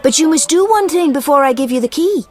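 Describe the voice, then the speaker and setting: A woman voicing a superstitious, expressive quest-giver in a fantasy video game, speaking in a mannered way. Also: high-pitched, fast, a faint crowd. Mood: pleased bargaining.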